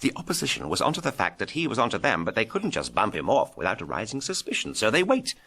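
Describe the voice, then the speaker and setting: An actor playing a breezy, cocky British adventurer sleuth in a tongue-in-cheek golden-age detective drama, jaunty and teasing. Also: male voice, on the low side, wildly swooping pitch, fast talker, sharp tone, slightly rough, exaggerated voice. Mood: deductive, emphatic.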